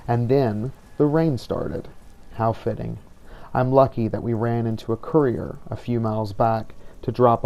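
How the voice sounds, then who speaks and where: Man narrating a game roleplay in character, gravelly, mellow tone, measured and reflective. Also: mid-range voice.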